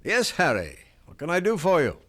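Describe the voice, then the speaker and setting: A man's voice: on the high side, wildly swooping pitch, normal speed, a bit bright, full character voice. An actor playing a smug, arrogant male businessman in a 1970s radio drama, mocking and dismissive. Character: smug male businessman who wronged his partner.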